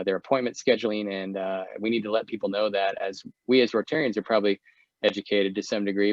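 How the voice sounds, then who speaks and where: Low-voiced American man, muffled call audio. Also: no room sound. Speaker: guest speaker, volunteer presenter. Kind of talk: slide presentation on video call. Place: indoors on a video call.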